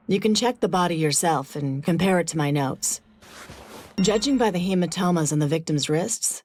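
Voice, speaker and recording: A matter-of-fact mortician in a mystery video game. Her voice is a little low, clinical in tone, with soft music in the background.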